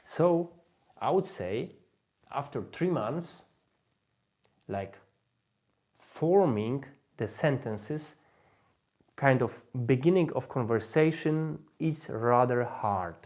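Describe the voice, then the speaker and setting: Polish guy with an accent chatting on a livestream, mid-pitched voice, stops and rewords a lot. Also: lively intonation, darkish tone. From Poland. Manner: halting, repeats and rephrases phrases. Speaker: host, language learner giving advice. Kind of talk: informal livestream talk on language learning.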